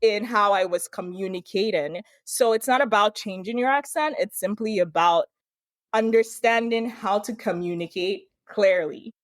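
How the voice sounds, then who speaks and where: A woman from Nigeria, a brand consultant guest, giving measured, reflective explanations in a casual interview. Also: medium pitch, no room sound.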